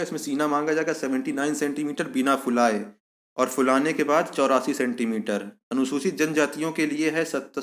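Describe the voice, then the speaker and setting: A man giving an instructional walkthrough of an official notice, repeating key points for emphasis. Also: fairly high pitch. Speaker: exam information channel host. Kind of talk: explanatory walkthrough of a recruitment notice.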